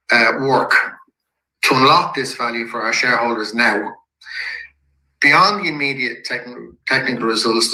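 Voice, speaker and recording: A man with a croaky voice from a head cold, giving a measured presentation to shareholders. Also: mid-range voice, pushed and bright, reverberant, poor audio. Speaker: company executive presenting to shareholders. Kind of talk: investor presentation.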